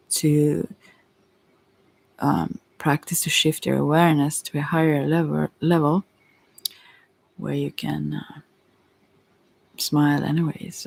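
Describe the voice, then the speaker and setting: A woman hosts a soft-spoken tarot session in an ASMR manner. Her voice is noticeably creaky and sometimes whispery. She rambles in a relaxed, hesitant way, drifting into asides. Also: low voice. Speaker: host, tarot reader.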